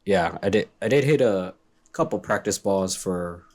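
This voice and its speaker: A man co-hosting a casual sports podcast, laid-back and joking with quick quips, his voice a little hoarse from a recent illness. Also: deep voice, lively intonation, a little mellow. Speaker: podcast co-host. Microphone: remote call recording.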